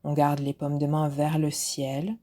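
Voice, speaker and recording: A woman instructor leading a guided relaxation meditation in a soft, soothing, unhurried way with pauses, with music behind her. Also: deep voice, monotone. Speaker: yoga nidra instructor.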